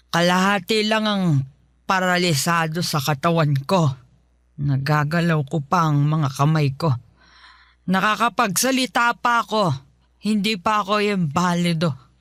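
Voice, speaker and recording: A woman's voice: fairly low pitch, a bit bright, no echo, clean studio sound. A woman playing a cranky, stubborn, ailing elderly mother who grumbles and finds fault in a radio drama. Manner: grumbling, fault-finding, slow.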